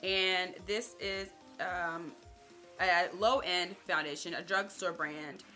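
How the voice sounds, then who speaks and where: A young woman casually chatting to camera as a beauty vlogger, upbeat and talking straight to her viewers, her voice a little raspy. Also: on the low side, steady tone, takes it slowly, bright, forceful tone, faint music behind. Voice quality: slightly raspy.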